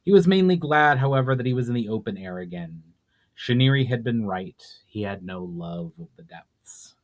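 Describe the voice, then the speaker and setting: Male author-narrator, medium pitch, measured dramatic audiobook style, slightly imperfect live read. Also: expressive. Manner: measured reading with occasional stumbles and self-corrections. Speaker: author reading own book aloud.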